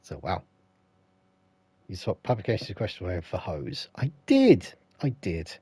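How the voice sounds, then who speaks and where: Croaky low-voiced English man. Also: wildly swooping pitch, a little slow, mellow, no echo. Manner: rambling, anecdotal, stops to greet viewers. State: croaky, unwell. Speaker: streamer. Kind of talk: casual storytelling to live chat. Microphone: headset.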